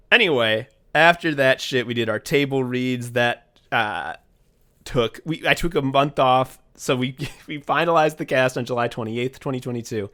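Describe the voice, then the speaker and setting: A man in a mid-range voice, chatting loosely on a podcast about a project he wrote and directed. He rambles, swears freely and fills his talk with "like," breaking into quick, excited bursts. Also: medium pitch. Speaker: podcast co-host and writer-director of the audio drama. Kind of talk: casual chat looking back on a project.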